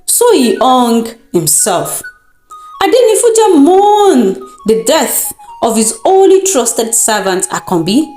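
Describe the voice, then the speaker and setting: A Nigerian woman tells a folktale in a fairly high, very animated voice. She takes her time, shaping the narration with deliberate, dramatic expression. The sound is echoey, with music underneath. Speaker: storyteller. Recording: roomy echo with music underneath.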